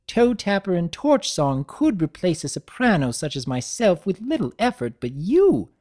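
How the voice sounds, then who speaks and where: A woman's voice: lowish voice, big pitch swings, moderate pace, a little mellow, pitched above the performer's own voice. A woman voicing a prim, theatrical female unicorn seamstress in a dramatic story reading, speaking in a refined, emphatic manner. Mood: gushing.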